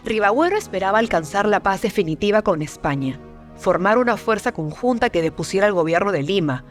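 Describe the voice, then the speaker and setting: A woman giving scripted documentary narration in a measured, formal, dramatic manner. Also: mid-range voice, lively intonation. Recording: music behind the narration.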